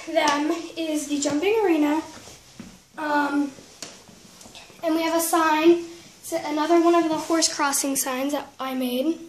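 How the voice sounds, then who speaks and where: High-pitched young-sounding woman, slow and halting. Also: a little hiss or hum. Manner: halting, trailing off and self-correcting. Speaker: vlogger giving a tour of a toy horse barn. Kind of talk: casual show-and-tell narration. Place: indoors at home, room with wooden floor. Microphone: handheld camera, speaker behind it.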